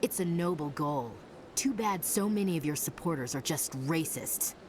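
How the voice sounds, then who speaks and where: An actress playing a tough, scornful soldier in a video game, curt and sardonic. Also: female voice, low-pitched, animated, normal speed. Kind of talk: video game dialogue acting. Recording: clear ambient noise behind.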